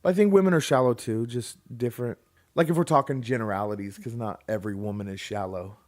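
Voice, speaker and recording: A man in easy, joking podcast banter with a co-host. He teases, backtracks and restarts his sentences as he talks. His voice sits at an ordinary pitch for a man. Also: fast, darkish tone. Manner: joking, teasing, restarts a lot. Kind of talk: casual banter.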